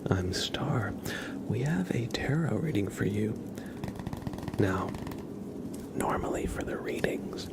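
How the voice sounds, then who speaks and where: An ASMR livestream host of unclear sex speaking gently and politely to viewers in a breathy, creaky whisper, close to the mic. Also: mid-range voice. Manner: slow, gentle, polite, thanking and welcoming viewers. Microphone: close sensitive ASMR microphone.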